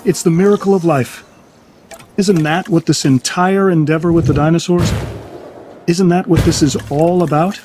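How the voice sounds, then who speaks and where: An actor playing a wealthy, smug biotech scientist in a video game, grandiose and self-assured, enthralled by dinosaurs. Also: male voice, on the high side, steady tone, normal speed, over music, natural voice. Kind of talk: video game cutscene acting.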